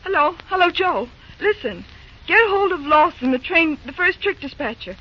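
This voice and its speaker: A woman's voice: very high voice, expressive, moderate pace. An actress playing an anxious, caring young woman at a railroad office in an old-time radio drama, fretful and exclaiming. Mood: fretful, urgent. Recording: dull lo-fi old radio recording.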